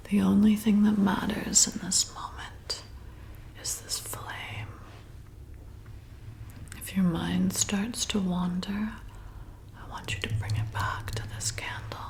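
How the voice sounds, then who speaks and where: A woman playing a flirty, commanding vampire bartender in an ASMR roleplay, softly coaxing and hypnotizing in a breathy whisper. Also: mid-range voice. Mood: soothing, hypnotic. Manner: slow, soothing, coaxing, deliberate.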